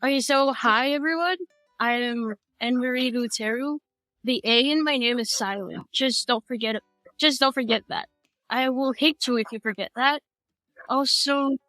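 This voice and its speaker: A teenage woman from American Samoa, a high school student telling her story in a casual, rambling way with many restarts. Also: measured pace, a bit bright, no room sound, soft background music, low-quality recording. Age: teens. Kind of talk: casual interview chat.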